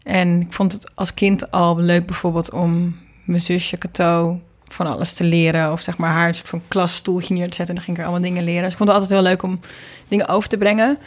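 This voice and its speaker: A woman's voice: on the low side, flat delivery, brisk, few pauses. A young woman with a Netherlands Dutch accent, chatting informally in an interview and often restarting her sentences mid-thought. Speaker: interview guest, singer and singing teacher. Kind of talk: casual conversational interview.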